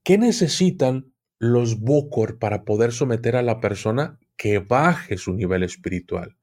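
A man's voice: mid-range voice, expressive, unhurried, a little mellow. A man who is an occultism expert guest, explaining at length in an interview and often repeating words while he searches for them.

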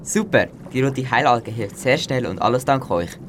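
A young performer plays a grateful doctor fish in an underwater radio play for a school. The voice sits far below the other fish, with a rumbly, watery noise behind it. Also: animated, moderate pace, close to an everyday voice.

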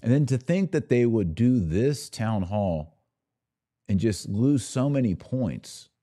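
A man's voice: mid-range voice, sing-song, takes it slowly, dark tone, no room sound, clean studio sound. A man hosting a podcast, chatting casually to viewers with wry asides as he comments and reads out their messages. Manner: conversational, wry joking asides. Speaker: podcast host.

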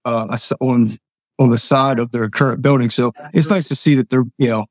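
Hoarse man, eager and chatty, medium pitch, dry sound. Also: fairly even intonation, a little fast, no echo. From Lancaster County, Pennsylvania. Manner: friendly, enthusiastic, filler-heavy. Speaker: guest. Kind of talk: casual interview chat.

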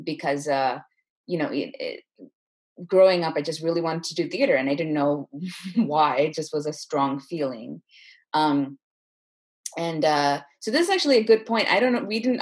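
A woman's voice: low voice, husky. A woman in her thirties from India, telling her story casually and chattily as an interview guest. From Chennai, India. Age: 30s. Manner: informal, chatty, often says "like".

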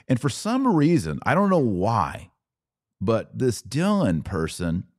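A man's voice: lowish voice, a little mellow, no room sound, clean studio sound. A man with an American accent giving live podcast commentary in a conversational way, posing pointed rhetorical questions. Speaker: podcast host.

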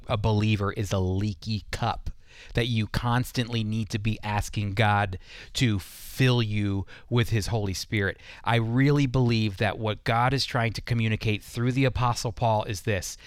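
A man's voice: fairly low pitch, clean tone, dry recording. Earnest man teaching, dry studio sound. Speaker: radio host and Bible teacher. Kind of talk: conversational Bible teaching.

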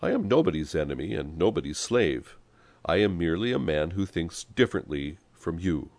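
A mild little middle-aged gentleman from a storybook, voiced by a male reader. He speaks quietly and courteously in a low register with little echo, measured and never flustered. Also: very animated. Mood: calm. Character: thin, middle-aged gentleman, polite, mild, unflappably calm.

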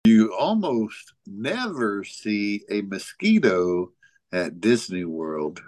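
A man co-hosting a casual podcast, reading out trivia in a halting, joking way. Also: fairly low pitch, sing-song, darkish tone, dry recording. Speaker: podcast co-host.

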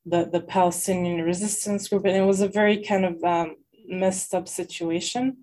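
A woman's voice: lowish voice, steady tone, moderate pace, mellow. A young woman raised in Lebanon, talking as a guest in a casual interview, hesitant and full of fillers. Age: young adult.

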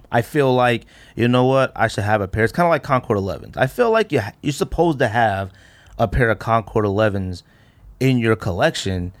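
Slangy American man chatting casually. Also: medium pitch. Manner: slangy, conversational. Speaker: podcast host. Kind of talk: casual chat.

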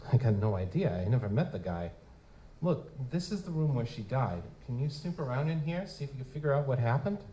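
A man's voice: medium pitch, expressive, a little fast, mellow, slight background noise, natural voice, poor audio. A man playing a streetwise, cheeky lutin goblin private investigator, narrating and talking in a casual, wisecracking noir style for an audio drama. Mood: curious, casual. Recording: music behind, live stage performance.

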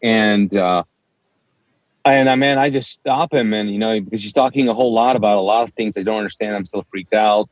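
A man's voice: lowish voice, brisk, no room sound, poor audio. A man whose first language is Urdu, a pastor telling his story as a guest in a casual interview and acting out the dialogue. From Kuwait. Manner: animated storyteller, acts out quoted dialogue.

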